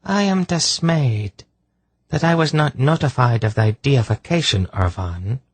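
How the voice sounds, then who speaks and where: Man voicing a harsh, rasping, contemptuous sorceress. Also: medium pitch, lively intonation, a little slow, no echo, voice pushed up. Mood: mocking. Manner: derisive archaic speech. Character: slender, cruel, unearthly sorceress, contemptuous and cold.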